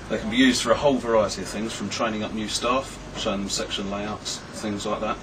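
Lowish man, noisy distant recording. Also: steady tone, brisk. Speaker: bookshop staff interviewee. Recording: noisy, distant shop-floor sound.

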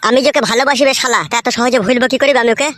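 Man doing a rapid-fire, high-pitched hot-headed cartoon guy. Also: steady tone, sharp tone, no echo. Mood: obstinate. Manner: foul-mouthed, belligerent. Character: stubborn, lovesick, hot-headed married young man. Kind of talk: crude comedy cartoon dialogue.

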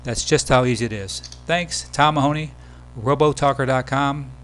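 A man giving a casual, step-by-step software tutorial as the presenter of a screen demo. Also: medium pitch, normal speed. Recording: background hum and noise.

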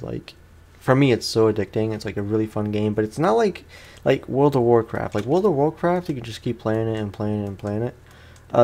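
Low-voiced man chatting through a game. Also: darkish tone. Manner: rambling, slangy, conversational. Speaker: gaming streamer. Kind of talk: casual let's play commentary.

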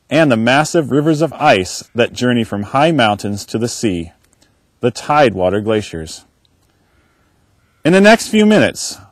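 A male park ranger talks visitors through a day of sightseeing in a scripted, upbeat guide's delivery. His voice sits at an ordinary middle pitch but moves up and down a lot, lively and animated from phrase to phrase. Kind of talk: scripted informational narration.

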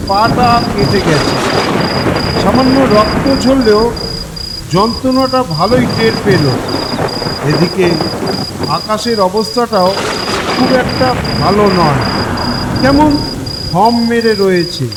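A man narrating a scripted ghost story with deliberate, dramatic phrasing in short pieces. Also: high-pitched, animated. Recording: long reverb, loud night-ambience noise.

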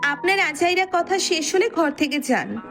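A woman acts a young wife and mother in an audio story, high in pitch and sharp-tongued. She scolds in a firm, exasperated, no-nonsense way over faint music. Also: animated, normal speed, full character voice. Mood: curt.

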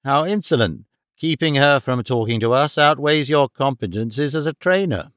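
A man's voice: medium pitch, expressive, moderate pace, fairly bright tone, no echo, clean studio sound, close to an everyday voice. A man playing a confident, courteous detective in an audiobook, formally polite and probing. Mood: assured. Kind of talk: audiobook character dialogue.

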